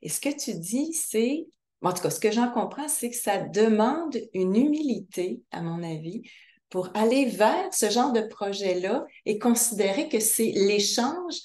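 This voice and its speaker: A woman's voice: mid-range voice, lively intonation, measured pace, a little mellow. A woman interviewing a guest, reflective and earnest as she sums up what she has heard. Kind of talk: conversational interview. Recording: echoey room.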